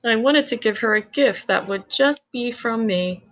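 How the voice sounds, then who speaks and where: A woman's voice: a little high, a little slow. Woman reading a children's storybook aloud. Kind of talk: children's storybook read-aloud, scripted narration.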